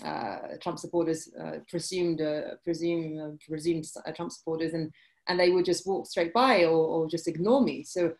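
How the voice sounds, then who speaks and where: A woman in her forties with a British accent, answering interview questions over a video call, halting with many restarts. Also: low voice, steady tone, a little mellow. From UK and France. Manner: hesitant, many restarts and fillers. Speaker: guest, journalist. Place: indoor room, video call. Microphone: laptop webcam mic.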